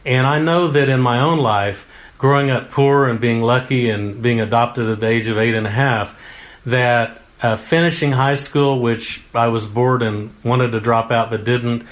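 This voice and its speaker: Man, political candidate, folksy, homespun talk, mid-pitched voice. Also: steady tone, a little slow. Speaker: congressional candidate. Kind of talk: political interview answers.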